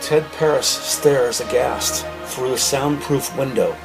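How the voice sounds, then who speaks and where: A man's voice: mid-range voice, slow, vocal fry, over music, rough recording. A man narrating a scripted crime audio drama with vivid, slightly wry storytelling.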